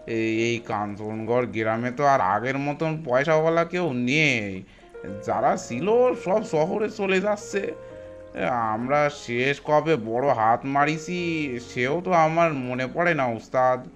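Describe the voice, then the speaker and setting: Man acting as loyal bandit underling, cartoon, mid-pitched, hesitant, colloquial. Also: animated, slow. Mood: worried, deferential. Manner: hesitant, rustic colloquial speech. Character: anxious, deferential rustic bandit henchman, loyal to boss. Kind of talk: animated horror story dialogue. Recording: music bed behind voice.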